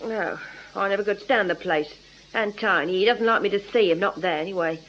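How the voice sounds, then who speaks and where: An actress playing a guarded, sarcastic crook's wife, curt and defiant, in a radio crime drama. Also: female voice, mid-range voice, brisk, a bit bright, close to an everyday voice. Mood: guarded, hostile. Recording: muffled radio drama recording.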